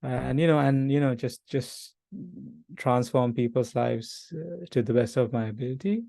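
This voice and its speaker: Sri grad student, 30s, on a video call, fills pauses with "uh", mellow and a little creaky. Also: male voice, medium pitch, no room sound. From Sri Lanka. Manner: hesitant, many fillers, laughs often. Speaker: PhD student guest. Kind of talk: casual self-introduction.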